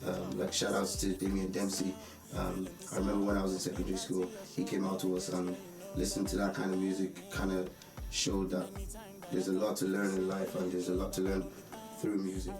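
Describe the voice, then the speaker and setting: A young man from Nigeria, a musician, talking about his music in a relaxed, halting way with many pauses, over a music bed in a roomy space. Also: deep voice, creaky. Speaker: musician interviewee. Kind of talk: casual interview talk. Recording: roomy sound with music behind.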